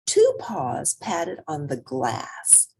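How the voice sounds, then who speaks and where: A woman reading a children's picture book aloud as an expressive storyteller with theatrical emphasis. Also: low-pitched, very slow, a little hiss or hum.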